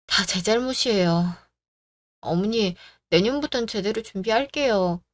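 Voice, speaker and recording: A woman's voice: medium pitch, lively intonation, a little slow, no room sound, clean studio sound, lightly acted. A woman voicing a young bride who is polite to her elders and firm with her fiancé. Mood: apologetic. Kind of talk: radio drama acting.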